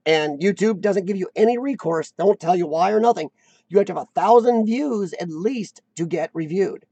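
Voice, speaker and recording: A man hosts a casual live-stream chat, rambling and self-deprecating as he doubles back on his sentences. His voice sits fairly high with a gravelly rasp, and it is recorded dry and close with no room around it. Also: moderate pace, warm tone, no room sound, highs cut off. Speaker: host of a live chat show.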